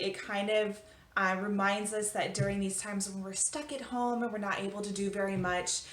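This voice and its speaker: A woman teacher giving a storybook read-aloud for children, with expressive delivery. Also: mid-range voice. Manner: expressive, animated reading. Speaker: elementary school teacher.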